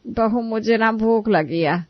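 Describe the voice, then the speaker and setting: Bossy taunting mother-in-law, put on by a woman narrator, pitched a little high, dry close sound. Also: slow, dry recording, highs cut off. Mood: demanding. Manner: nagging, sarcastic, bossy. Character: demanding greedy middle-aged mother-in-law, taunting.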